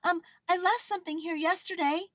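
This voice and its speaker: A woman voicing an affectionate, earnest little girl in a storybook, eager and gently chiding. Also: extremely high pitch, fairly even intonation, fairly quick, dry recording, clean studio sound, voice pushed up. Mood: hesitant.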